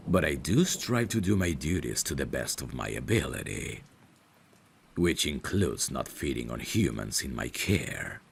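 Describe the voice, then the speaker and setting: A man's voice: a little hiss or hum, full character voice. A man voicing a courteous, devoted, centuries-old vampire butler in an ASMR roleplay, speaking formally and deferentially. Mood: gently fond. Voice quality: very deep, creaky. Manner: formal, deferential, measured servant's phrasing. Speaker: ASMR voice actor. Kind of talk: ASMR roleplay, dramatic scripted acting.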